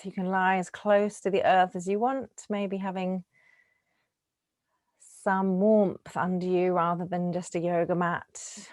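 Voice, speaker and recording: Low-pitched woman guiding relaxation, close and dry. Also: no room sound. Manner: gentle, soothing, unhurried with lingering pauses. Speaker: yoga nidra teacher. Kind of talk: guided relaxation meditation.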